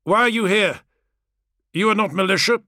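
A man voicing a dazed armoured warrior from a story, loud and urgent in a compelled military declaiming manner. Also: sits high, normal speed, no echo, clean studio sound, voice pushed up. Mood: urgent, commanding. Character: dazed armoured warrior, blurting old battle warnings.

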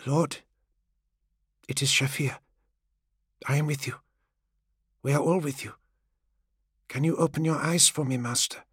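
A man acts as a devoted servant in an audiobook. The voice is soft, soothing and deferential, gently tending a master. It is recorded dry and close. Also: fairly high pitch, slow, slightly rough, dry recording, pitched above the performer's own voice. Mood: gentle, concerned.

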